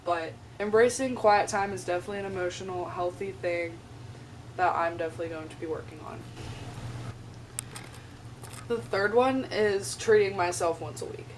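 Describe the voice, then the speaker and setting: A young woman, a college student, talking casually in a vlog, a bit raspy from a sore throat and filling her speech with "like" and "um". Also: medium pitch. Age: young adult. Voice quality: chatty, lots of "like" and "um". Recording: slightly distant mic with faint noise.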